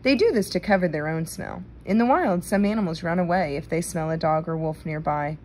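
A woman reading a children's nonfiction book aloud in a careful, deliberate manner. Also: sits low, darkish tone, slight background noise. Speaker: read-aloud reader.

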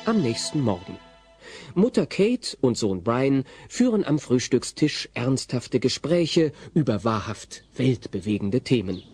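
A man's voice: medium pitch, sing-song, measured pace, a little mellow, faint music behind. A man playing the wry storyteller of a German comedy audio play, ironic and theatrical, savouring his words. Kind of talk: scripted comic narration.

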